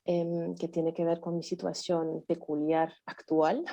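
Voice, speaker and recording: A woman's voice: fairly low pitch, little pitch movement, a little slow, gravelly, dark tone, muffled recording. A woman raised in Switzerland sharing her life story on a video call, hesitant with many fillers and restarts. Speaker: guest. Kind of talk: casual personal sharing in a group chat.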